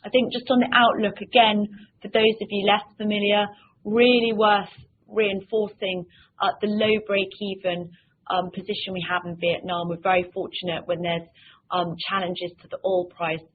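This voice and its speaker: A woman executive giving an investor presentation in a measured, hesitant way, often pausing on ums and repeating words. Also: mid-range voice, level tone. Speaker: company executive presenting financial results. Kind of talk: investor presentation, semi-scripted. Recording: tinny phone-like line with faint noise.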